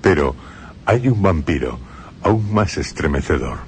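A man with a Spain Spanish accent hosting a radio story program, narrating in a grave, measured, ominous way from a studio. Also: rumbling low, lively intonation, a little hiss or hum.

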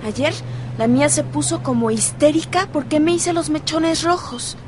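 Actress doing dramatic mexican teen girl, real high voice, complainy and defiant, radio soap, noisy background. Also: moderate pace, natural voice. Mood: indignant. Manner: complaining, emphatic. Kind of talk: radio soap opera acting.

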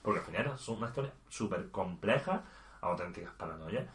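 A man's voice: deep voice, animated, fairly quick, a bit bright, echoey room. A man chatting casually about video games as a podcast co-host, with laughing asides and stammered restarts. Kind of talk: casual gaming chat.

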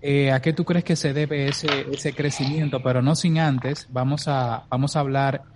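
Guy hosting an interview podcast, average pitch, repeats himself a bit, slight noise and clicks. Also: fairly even intonation, normal speed. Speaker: podcast host. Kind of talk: conversational interviewing.

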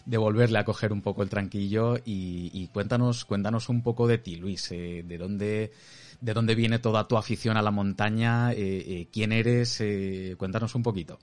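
A man's voice: lowish voice, steady tone, moderate pace, a little mellow. A man hosting an informal, chatty interview, talking casually and encouragingly with his guest. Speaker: interviewer, host.

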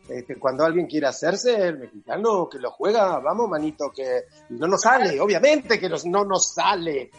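Man, Argentine accent, husky, somewhat high voice, radio guest. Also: very animated, moderate pace, hardly any pauses, soft background music. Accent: Argentine Spanish. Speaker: psychologist guest. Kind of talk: radio interview, phoned in.

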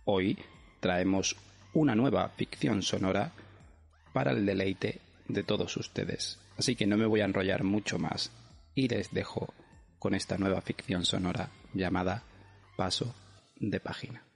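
A man narrating scripted drama as a podcast host, with hushed, breathy phrase endings. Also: a little slow. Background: guitar and bass music.

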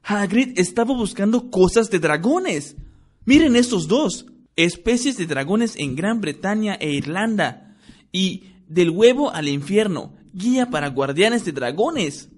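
Emphatic teenage boy, boastful, high animated voice, as a male reader puts him on. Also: normal speed, husky, exaggerated voice. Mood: excited. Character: boastful, lazy schoolboy, teenage friend.

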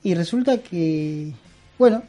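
Man, Peruvian accent, middle-aged, mellow dark tone, halting casual talk. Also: a little high, lively intonation. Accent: Peruvian Spanish. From Peru. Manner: rambling, halting, many fillers and restarts. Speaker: guest, costume and prop maker. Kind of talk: casual interview chat.

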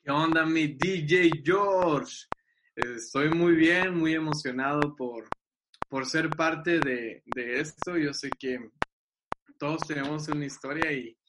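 A man, chatting as a guest on a video call, enthusiastic and quick to laugh, often repeating his words. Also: fairly high pitch. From Mexico. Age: 20s. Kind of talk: casual video-call chat. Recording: loud background hiss on a video call.

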